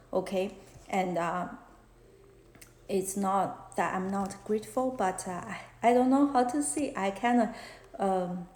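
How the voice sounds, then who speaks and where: Slow, earnest woman with Chinese accent. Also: medium pitch, a little mellow. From China. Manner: halting, many fillers and restarts, earnest. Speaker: fashion illustrator hosting a live chat. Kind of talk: casual live-stream chat. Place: small room indoors. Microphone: phone at arm's length. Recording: roomy echo.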